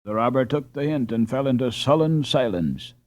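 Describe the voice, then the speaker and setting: A man narrating an audiobook adventure in a suspenseful, expressive, dramatic storytelling style. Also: mid-range voice, moderate pace, no echo.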